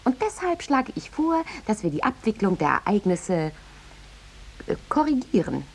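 An actress playing a brazen, self-serving newspaper reporter in a 1950s German radio satire, with an insistent, glib, theatrical manner. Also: female voice, medium pitch, big pitch swings, moderate pace, slightly rough. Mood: coaxing.

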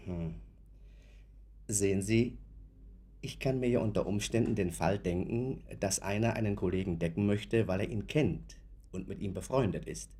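Deep, husky, probing lawyer. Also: male voice, mellow. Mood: measured, sceptical. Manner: deliberate, courteous, probing. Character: measured, sceptical male lawyer, courteous and probing. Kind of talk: German radio play acting.

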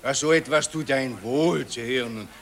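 An actor playing an earnest patriotic gentleman who argues his case. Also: mid-range voice, normal speed, natural voice.

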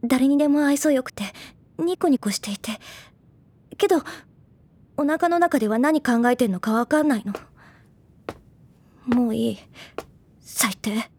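A woman playing a shy but blunt, prickly high school girl in an anime-like Japanese audio drama. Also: unhurried, pauses often, a little mellow, no room sound. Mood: hurt, sulky. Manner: blunt, bashful, tsundere.

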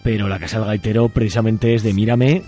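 A man's voice: lowish voice, fairly even intonation, fast talker, warm tone, faint music behind. A man with an accent from Spain interviews on the radio in a casual, playful, coaxing way. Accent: Spanish from Spain.

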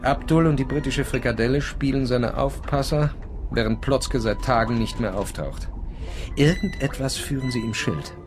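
A man's voice: medium pitch, normal speed, over music, natural voice. A man playing a sardonic traveller narrating his sea journal in a radio drama, in a dry, literary, terse manner. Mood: wry, foreboding.

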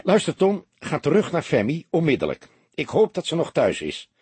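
A male narrator plays a commanding police detective in a crime audiobook. The voice is very husky and animated, close and dry, with brisk orders and impatient, probing questions. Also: a little high, no room sound, pitched above the performer's own voice, heavily acted. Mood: urgent, commanding. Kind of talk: audiobook character dialogue.

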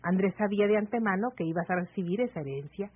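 Steady, reassuring Mexican woman friend, radio serial style, measured and serious, husky edge, dull vintage recording. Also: medium pitch. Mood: firm. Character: composed, caring woman advising a close friend. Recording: muffled, lo-fi old AM radio recording.

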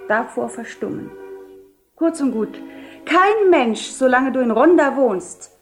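A woman playing a self-possessed widowed duchess with measured, solemn, theatrical delivery in a radio play. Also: fairly high pitch, darkish tone. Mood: stately, persuasive. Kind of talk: 1970 German radio play acting.

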